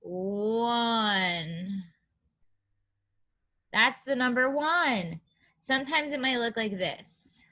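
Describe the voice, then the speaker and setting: Female preschool teacher, high bright voice, sing-song and encouraging, reading aloud to little kids. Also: drawn-out words, pauses often. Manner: animated, sing-song, encouraging, simple phrasing for young children. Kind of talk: children's read-aloud lesson with questions and counting.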